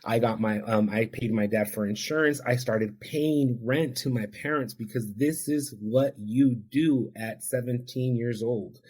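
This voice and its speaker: A man whose first language is Spanish talks as a guest on a podcast about his own past. He is an addiction counselor, and he speaks in an earnest, reflective, heartfelt way. His voice sits at an ordinary middle pitch for a man. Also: a little slow, few pauses, darkish tone, slightly rough. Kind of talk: podcast interview, personal storytelling.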